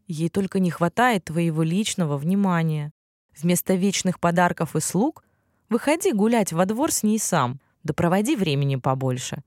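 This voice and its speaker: A woman voicing a proud, wise male unicorn who speaks in a measured, admonishing way in a storybook tale. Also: lowish voice, dry recording, studio quality. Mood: wise advice. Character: proud, free-willed male magical unicorn, wise and scolding.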